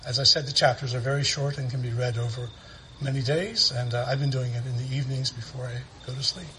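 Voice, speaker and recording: Bright-voiced, thoughtful man outdoors. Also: medium pitch, fairly even intonation, fast talker, slight background noise, rough recording. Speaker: church member recommending a book. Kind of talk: casual personal sharing.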